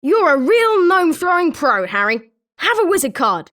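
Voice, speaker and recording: A woman's voice: very high voice, big pitch swings, moderate pace, fairly bright tone, exaggerated voice. A woman with a British accent voicing an eager, sporting schoolboy wizard with chirpy, emphatic delivery in a video game. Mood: congratulatory. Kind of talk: scripted video game character lines.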